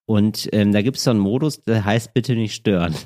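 A man's voice: fairly low pitch, moderate pace, mellow. A man co-hosting a comedy podcast, talking casually with many hesitations. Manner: hesitant, lots of fillers, laughs easily. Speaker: podcast co-host.

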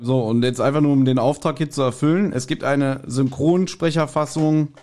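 A man's voice: mid-range voice, fairly even intonation, moderate pace, a little mellow, slight background noise. A German man co-hosting a podcast, chatting casually and colloquially as he recaps and teases. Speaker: podcast co-host. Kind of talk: casual chat, recapping an audio drama.

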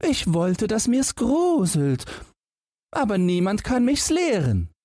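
A man's voice: high voice, huge pitch swings, takes it slowly, darkish tone, no room sound, clean studio sound, pitched above the performer's own voice, exaggerated voice. A man voicing a naive, simple young lad in a fairy tale, with a guileless, wistful way of talking.

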